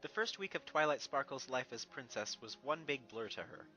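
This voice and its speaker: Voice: mid-range voice, expressive, a little fast, sharp tone, muffled recording. A narrator reading a fan fiction story expressively from a script, with music behind. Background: background music.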